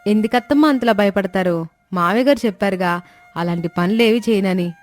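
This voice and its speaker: A woman's voice: medium pitch, a little fast, close to an everyday voice. Woman as a caring young daughter-in-law. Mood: reassuring. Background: background music.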